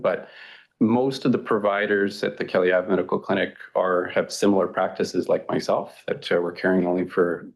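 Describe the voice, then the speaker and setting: Very low gravelly-voiced man. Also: poor audio. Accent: Canadian. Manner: repetitive, rambling. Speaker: family doctor. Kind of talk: answering council questions. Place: council chamber.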